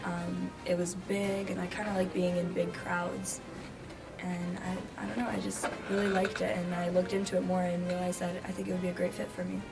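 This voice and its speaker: A young woman whose first language is Greek, a graduating student answering interview questions hesitantly with many pauses. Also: lowish voice, little pitch movement, music in the background. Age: young adult. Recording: noisy background.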